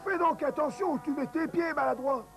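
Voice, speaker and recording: High-voiced bossy cartoon dwarf leader. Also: male voice, fairly quick, gravelly, over music. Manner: commanding, scolding. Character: bossy grumpy dwarf leader with an aching back. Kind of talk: dubbed children's cartoon acting.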